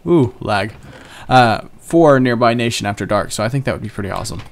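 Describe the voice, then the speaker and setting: A man's voice: medium pitch, lively intonation, brisk, gravelly. A young man casually narrating his own computer game play, rambling and joking at himself as he goes. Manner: chatty, rambling, self-joking. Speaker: gaming channel host. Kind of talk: casual Let's Play commentary.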